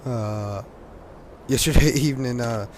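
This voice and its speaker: A hoarse teenage man from Louisiana, rambling casually with lots of fillers and laughs while commenting on a game at a desk mic. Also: medium pitch, unhurried, darkish tone, a little hiss or hum. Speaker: gaming commentator.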